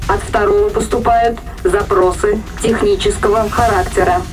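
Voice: mid-range voice, fairly even intonation, normal speed, over music, natural voice. A performer voicing a dutiful ship's computer in a science fiction audio drama, formal and matter-of-fact.

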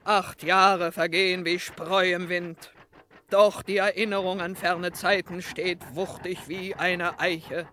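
Sharp, forceful female whaling captain. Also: lowish voice, flat delivery, slow pace, a little hiss or hum. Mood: gruff, solemn. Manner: brusque, declamatory, poetic. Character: gruff, vengeful female whaling ship captain, lyrical streak. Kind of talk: comic radio crime serial acting.

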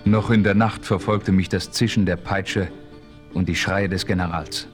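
An actor playing a composed frontier adventurer who narrates his exploits gravely in a German radio play. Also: low-pitched, steady tone, soft background music, natural voice. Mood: measured, serious. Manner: grave, measured storytelling. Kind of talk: dramatic first-person radio play narration.

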